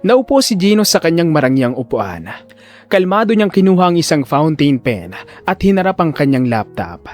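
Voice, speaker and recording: Animated male drama narrator. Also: on the high side, normal speed, soft background music. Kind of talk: dramatic scripted story narration.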